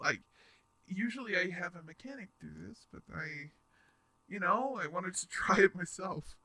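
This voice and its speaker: A man's voice: sits high, pushed and bright, full character voice. A man playing a shy, flustered, kindly robot roommate in an intimate audio drama, speaking hesitantly with stammers. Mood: sheepish, nervous. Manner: stammering, hesitant, nervous laughs, sighs. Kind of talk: intimate roleplay audio drama addressed to the listener.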